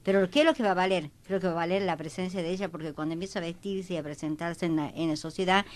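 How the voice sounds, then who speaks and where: A woman's voice: on the low side, quick pace. A woman talking in a rambling, repetitive way in a panel discussion. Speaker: panelist on a history programme.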